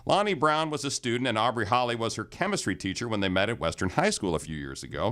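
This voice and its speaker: A man's voice: fairly low pitch, a bit bright. A man hosting a university TV program, interviewing guests with prompting questions. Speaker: TV show host. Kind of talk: studio interview.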